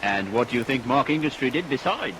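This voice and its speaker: Male actor as genial old-radio storyteller: mid-pitched, arch, theatrical, with loud score and rain underneath. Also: expressive, fairly quick, lightly acted, low-quality recording. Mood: arch, satisfied. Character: genial male storyteller guiding a lurid Victorian tale. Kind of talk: 1940s radio scripted narration. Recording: vintage radio recording, rain over it. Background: loud music, rain.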